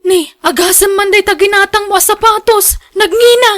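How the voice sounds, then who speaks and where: A woman's voice: very high voice, normal speed, fairly bright tone. An actress with an Ilocano accent playing a scolding, thrifty wife and mother in a radio drama. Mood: exasperated. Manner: scolding, reproachful. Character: nagging, thrifty wife and mother, exasperated with vain husband.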